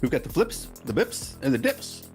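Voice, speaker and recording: A man's voice: high-pitched, moderate pace, faint music behind. A man hosting a casual podcast, joking around and telling stories with lots of energy. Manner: joking, animated storytelling. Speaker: podcast host.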